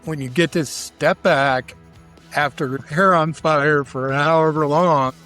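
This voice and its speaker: A man's voice: a little high, steady tone, takes it slowly, sharp tone, soft background music. A man chatting casually as an interview guest, with a folksy, colloquial, enthusiastic manner. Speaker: interview guest, barbecue cook.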